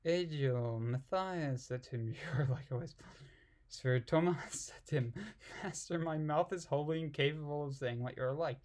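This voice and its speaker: A man's voice: fairly high pitch, moderate pace, a little mellow. A man reading aloud and commenting casually in an irreverent, giggly, puzzled manner. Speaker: amateur reader and commentator.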